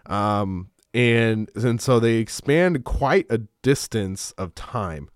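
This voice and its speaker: A man in his thirties, a pastor hosting a Bible-teaching podcast from a small home studio, talking in a relaxed, rambling way with lots of fillers and restarts. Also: slow, no room sound. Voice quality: smooth, low.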